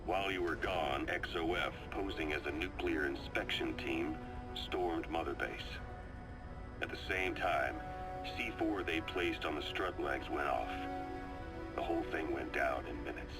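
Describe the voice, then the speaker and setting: A man playing a seasoned, calm and knowing military adviser in a video game, briefing his commander in a measured, confiding storytelling delivery. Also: very deep voice. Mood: grave, ominous. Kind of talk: video game voice acting, dramatic briefing monologue. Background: music.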